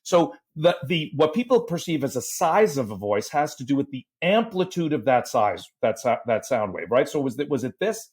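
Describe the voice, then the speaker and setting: A man's voice: medium pitch, big pitch swings, moderate pace, few pauses, a little mellow. A man, a voice teacher, explaining voice science in a casual podcast conversation, using sung sounds and sound effects as examples. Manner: explains step by step, vocal demos and sound effects.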